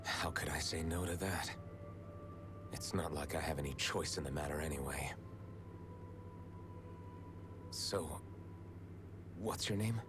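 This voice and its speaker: A deep-voiced young man, bitter and guarded, in a video game cutscene. He talks tersely, with brooding resentment. Music plays clearly behind him. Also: normal speed, long pauses. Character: young male captive, bitter, guarded, wary rebel.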